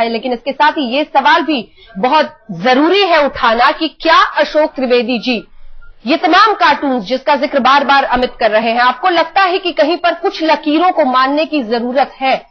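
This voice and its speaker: A woman anchoring a live TV news debate, putting pressing questions and cutting in on her guests. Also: sits high. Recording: dull lo-fi broadcast audio.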